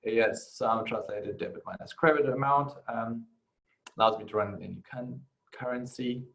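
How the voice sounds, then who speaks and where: A man talking through an informal screen-share software tutorial, halting as he goes and often adding short tag questions. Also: medium pitch, gravelly, low-quality recording. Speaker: software instructor.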